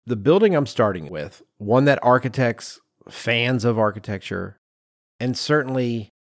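A middle-aged man co-hosting a podcast in a conversational, animated and emphatic way, with some self-deprecation. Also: lowish voice, no echo, studio quality. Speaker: podcast co-host.

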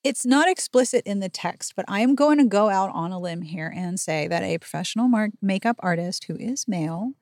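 A woman hosts a chatty book recap with plenty of emphasis and indignation. Her voice sits at a medium pitch and is recorded close and dry. She swears freely as she goes. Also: expressive, brisk, hardly any pauses, a little mellow, no echo. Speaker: podcast host. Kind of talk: animated book recap chat.

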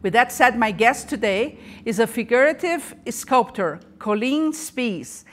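Brief: enthusiastic female podcast host, slightly high voice, video-call sound. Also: animated, measured pace, slight background noise. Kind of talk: live podcast interview.

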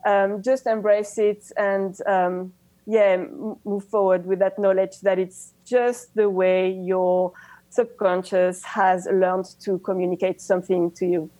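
A woman's voice: medium pitch, level tone, dark tone, dry recording, poor audio. French-accented woman, enthusiastic guest. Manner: enthusiastic, halting with many restarts. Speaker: guest, pain coach. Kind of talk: casual interview chat.

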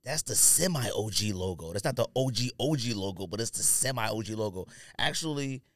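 A man's voice: lowish voice, lively intonation, a little fast, no room sound. A man co-hosting a podcast in casual banter, talking in a slangy, joking, rambling way. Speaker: podcast co-host.

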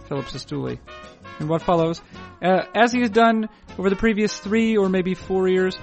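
A man hosting a podcast, talking in a dry, wordy, formal deadpan. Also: fairly high pitch. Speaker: podcast host. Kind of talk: deadpan scripted intro and ad read.

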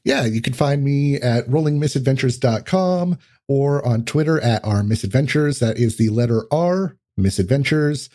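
A man with a dark, relaxed voice at a middle pitch speaks as a guest on a podcast. He explains things casually and conversationally. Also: moderate pace.

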